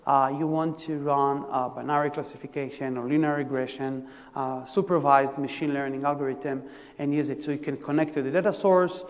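A man from Israel with an Israeli accent, giving a conference tech talk in a halting way, full of fillers and restarts. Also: medium pitch, steady tone. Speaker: technical presenter. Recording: long reverb of a lecture hall.

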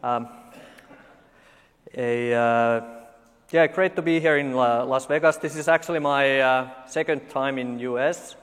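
A man from Finland in his forties with a Finnish accent, giving a conference talk full of dry, deadpan jokes and halting fillers. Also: mid-range voice, smooth. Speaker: conference speaker. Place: large conference hall. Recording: long hall reverb.

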